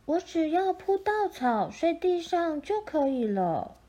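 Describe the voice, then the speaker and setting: Woman doing a sweet high-voiced little girl. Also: very animated, measured pace, mellow, exaggerated voice. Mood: eager, polite. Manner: sweet, earnest.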